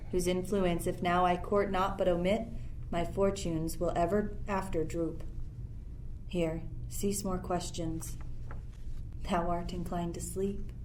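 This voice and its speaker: A woman playing a commanding, motherly sorceress in a Shakespeare radio play, with measured, solemn verse. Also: on the low side, a little hiss or hum, natural voice, rough recording.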